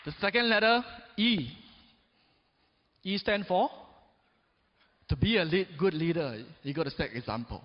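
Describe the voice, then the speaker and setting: A man in his forties delivers an emphatic motivational speech, swinging between loud peaks and low drops. His voice sits a little high for a man. The sound is dull and washed in the long echo of a big hall. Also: animated, a little slow, long pauses, a little hiss or hum. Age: 40s. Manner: peppers talk with "right?" and "yes or no?" to the audience. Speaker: motivational speaker.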